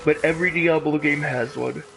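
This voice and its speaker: A man's voice: fairly high pitch, fairly even intonation, moderate pace, vocal fry, noisy background. A man giving casual, jokey live commentary as he streams a game, with mock drama. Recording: game music behind the voice. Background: video game music.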